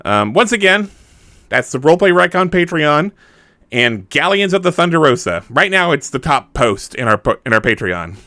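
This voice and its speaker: A man's voice: on the high side, big pitch swings, normal speed, bright, forceful tone, no echo. A man hosting a podcast, making casual announcements to listeners in an informal, chatty, self-deprecating way. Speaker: podcast host.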